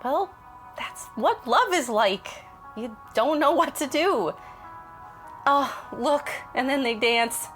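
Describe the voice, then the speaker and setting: An actress as an excitable, doting mother in an audio drama. Her voice is high and swings freely as she bosses and coaxes her grown daughter along. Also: slow pace, soft background music, heavily acted. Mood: wistful, amused. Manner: bossy and coaxing, with animated exclamations. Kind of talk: naturalistic audio drama acting.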